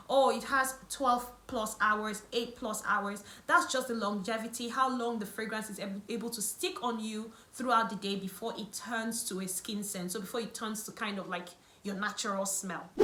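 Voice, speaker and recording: A woman's voice: a little high, sharp tone. A woman beauty and lifestyle vlogger, whose first language is not English, explaining things to camera in a chatty, self-correcting way. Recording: echoey room with faint music.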